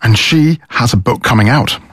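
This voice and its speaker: A man's voice: medium pitch, moderate pace, a bit bright, slightly rough. A man reporting for radio, asking guests friendly, leading questions. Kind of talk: on-location interview.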